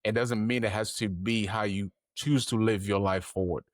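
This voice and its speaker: Male podcast host, very low voice, dry close mic, earnest and rambling. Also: steady tone, moderate pace, dry recording. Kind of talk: heartfelt interview.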